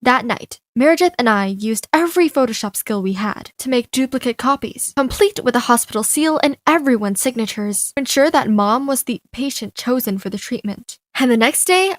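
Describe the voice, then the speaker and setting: A woman narrating an animated story as a fan dub, expressive, theatrical and sassy. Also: a little high, moderate pace, few pauses, no echo, studio quality. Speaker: fan dubber narrating and voicing an animated teen.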